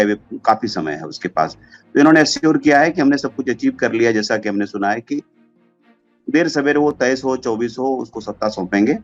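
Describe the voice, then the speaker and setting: Muffled lowish male political commentator. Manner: mixes English terms into his speech. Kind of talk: informal political analysis. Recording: dull lo-fi audio with music behind. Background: background music.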